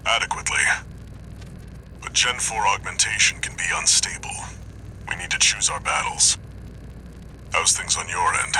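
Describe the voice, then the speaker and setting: An actor playing a stern older mercenary handler in a video game, speaking in a terse, grave, controlled way over missions. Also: male voice, sits low, normal speed, pauses often, pushed and bright, a little hiss or hum, rough recording. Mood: grave, measured.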